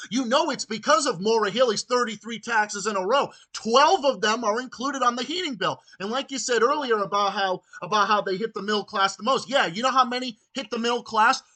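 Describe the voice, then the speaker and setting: A man's voice: extremely high pitch, bright, forceful tone. A man in his late twenties talking politics in an emphatic, repetitive way, stammering over his words. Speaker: political ranting show host. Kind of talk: political phone conversation.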